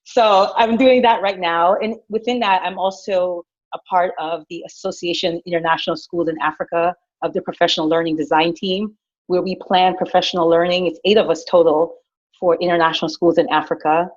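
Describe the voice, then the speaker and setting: A middle-aged woman from Guyana, an educator, telling her leadership story in a lively, chatty way with fillers and restarts. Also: fairly low pitch, brisk. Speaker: director of innovation at a school. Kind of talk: personal leadership story, casual. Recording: dull lo-fi recording.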